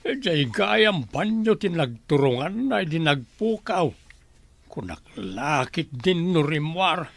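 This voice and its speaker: Man acting slow, creaky old Ilocano grandfather. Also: fairly high pitch, very animated, no echo, full character voice. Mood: concerned. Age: older. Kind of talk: radio drama acting.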